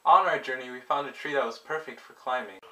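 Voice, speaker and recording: A man narrates a personal vlog in a mid-pitched voice, telling events in order like a storyteller. There is faint noise in the recording. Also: fast, pushed and bright. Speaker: vlogger.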